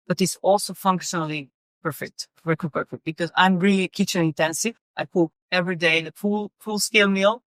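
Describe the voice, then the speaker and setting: A middle-aged woman from Serbia speaks English in a relaxed interview. Her voice is smooth and resonant. She pauses often, with fillers and repeated words, and the sound is dry and close to the mic. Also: lowish voice, no room sound. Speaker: guest, company executive. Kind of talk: casual interview conversation.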